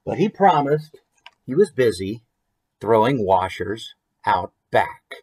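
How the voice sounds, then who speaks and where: A man's voice: fairly low pitch, slow pace, husky, rough recording. American man reading a children's picture book. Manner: expressive, conversational storytelling. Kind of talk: children's story time read-aloud with chatty asides. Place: small room at home, indoors.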